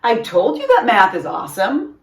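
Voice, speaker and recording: A woman's voice: medium pitch, wildly swooping pitch, moderate pace, gravelly. A woman voicing a cheerful, helpful young boy cat pupil in a storybook, upbeat and encouraging. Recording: roomy echo, distant microphone.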